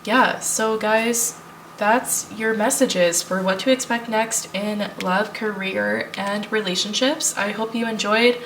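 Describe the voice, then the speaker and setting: A woman's voice: medium pitch, fairly even intonation, slow pace, fairly bright tone, far from the mic, slight background noise, poor audio. Stuffy-nosed woman doing tarot reading. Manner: thinking aloud, reassuring, addresses viewers as piles. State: stuffy from a cold. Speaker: tarot reader. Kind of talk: pick-a-card tarot reading.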